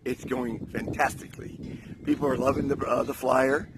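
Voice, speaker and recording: A man's voice: mid-range voice, level tone, normal speed. An upbeat man chats to his phone camera at a windy outdoor resort. His voice is strained and fading, but he stays cheerful. A faint background hiss sits under the recording. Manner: upbeat, enthusiastic. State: losing his voice. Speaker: vlogger. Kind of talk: casual vlog chat.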